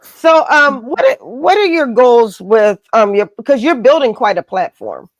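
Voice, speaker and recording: A woman's voice: fairly high pitch, very animated, unhurried, no room sound, rough recording. A woman hosting a conversational interview, affirming her guest and feeling out her phrasing as she goes. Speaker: interview host.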